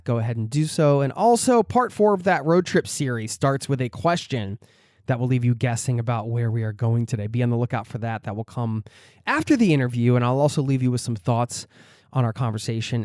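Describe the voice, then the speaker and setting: A man's voice: medium pitch, lively intonation, fairly quick, a little mellow, dry recording, clean studio sound. A man hosting a travel podcast, reading a friendly intro and then chatting casually with his guest. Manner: upbeat, friendly, joking with guest. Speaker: podcast host.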